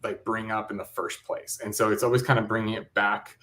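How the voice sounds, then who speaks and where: A man giving a casual explanation as an interview guest, halting and hesitant as he feels for his words. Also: fairly low pitch, level tone, pushed and bright.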